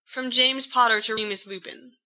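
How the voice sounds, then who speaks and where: A woman's voice: fairly high pitch, fairly even intonation, normal speed, bright, forceful tone, rough recording. A woman reading fiction aloud as scripted narration. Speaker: fan fiction reader.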